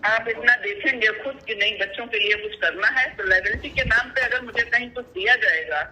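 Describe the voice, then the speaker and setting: Woman, sharp bright voice, earnest, deferential, pleading, slightly noisy telephone line. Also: a little high, fairly quick. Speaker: customer on the call. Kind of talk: phone conversation, pleading her case. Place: phone call recording.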